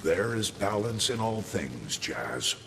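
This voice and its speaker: A man playing a noble robot commander in a video game, speaking in a grave, measured, formal manner. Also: lowish voice, sing-song, creaky, slight background noise, full character voice. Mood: wise, calm. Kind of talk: video game cutscene acting. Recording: loud music over the voice.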